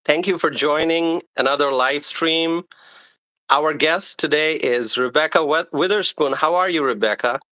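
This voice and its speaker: Bright-voiced male live stream host. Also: a little high, unhurried, poor audio. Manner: formal, steady reading with small stumbles. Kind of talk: reading a guest introduction.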